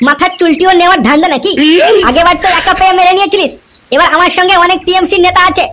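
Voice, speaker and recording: Woman voicing a shrill, hot-tempered cartoon husband. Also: steady tone, rapid-fire, a bit bright. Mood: angry. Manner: scolding, crude, combative. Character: hot-tempered, foul-mouthed young husband, aggrieved and boastful. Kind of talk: amateur cartoon comedy dialogue.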